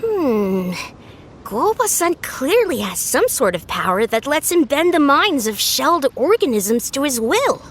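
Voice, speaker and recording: A woman's voice: high-pitched, very animated, a little slow, a little hiss or hum. A woman voicing an earnest, polite young sidekick in an anime game, reasoning things out in formal speech. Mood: earnest, revelatory.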